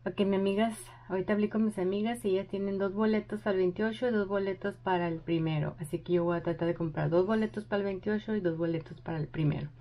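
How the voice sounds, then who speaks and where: Excited, chatty young woman vlogging. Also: medium pitch, quick pace, few pauses. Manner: excited, chatty, thinking aloud. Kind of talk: casual vlog narration.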